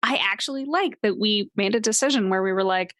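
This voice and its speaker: A woman chatting casually as a tabletop game player, telling things in a wry, animated way with some swearing. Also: mid-range voice, brisk, no room sound, studio quality. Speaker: player on a tabletop actual-play show. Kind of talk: casual chat.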